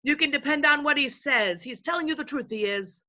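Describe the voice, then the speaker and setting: A woman's voice: sits high, lively intonation, a little fast, sharp tone, lo-fi sound, pitched above the performer's own voice. A woman voicing simple invisible servants in a storybook, fawning and eagerly agreeing in repetitive phrases.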